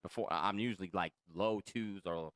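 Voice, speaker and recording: Deep-voiced, quick-talking American man. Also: a bit bright, clean tone, no room sound, studio quality. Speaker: podcast host. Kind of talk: casual interview chat.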